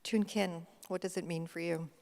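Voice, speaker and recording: A woman moderating a formal panel in a deliberate, solemn and precise manner. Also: on the low side, steady tone, gravelly, darkish tone, a little hiss or hum. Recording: echoey hall sound.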